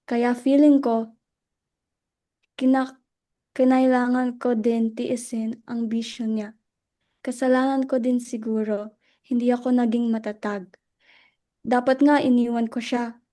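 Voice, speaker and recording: Grieving widow played by a woman, dramatic reading: halting, anguished, narrow melody, soft dark tone. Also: a little high, little pitch movement, natural voice. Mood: anguished regret. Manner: halting, repeats phrases in anguish. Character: guilt-ridden grieving widow, remorseful, childless wife Luella. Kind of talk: dramatic stage reading in Tagalog.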